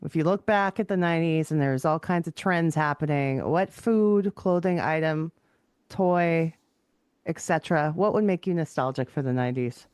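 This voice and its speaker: A woman's voice: deep voice, moderate pace, a little mellow, clean tone, no room sound. A woman with a Canadian accent hosting a podcast and asking questions in a casual interview style.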